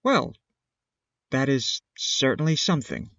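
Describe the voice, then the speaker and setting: A woman's voice: a bass voice, very animated, a little slow, fairly bright tone, no room sound, lo-fi sound, full character voice. A woman playing a caring, inquisitive mother in a period audio drama. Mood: curious.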